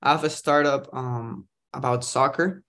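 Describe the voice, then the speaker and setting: A man's voice: medium pitch, unhurried, fairly bright tone. A young man, chatting upbeat as a podcast guest. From Costa Rica. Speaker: guest, startup founder. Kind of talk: casual interview chat.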